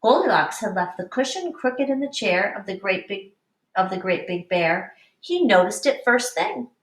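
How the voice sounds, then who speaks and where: A woman teacher reading a picture book aloud to young children with deliberate, explanatory expression. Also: mid-range voice, expressive. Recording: echoey room, distant mic.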